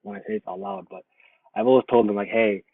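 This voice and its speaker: A man born in Somalia, a guest in a casual interview, talking in a relaxed, rambling way full of fillers and restarts. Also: medium pitch, fast, creaky, dry recording. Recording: tinny phone-like lo-fi line, dry.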